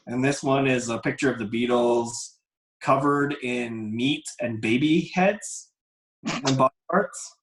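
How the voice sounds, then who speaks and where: Man losing his voice, echoey room. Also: medium pitch. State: losing his voice, throat-clearing. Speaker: podcast host. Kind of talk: casual panel talk, presenting slides.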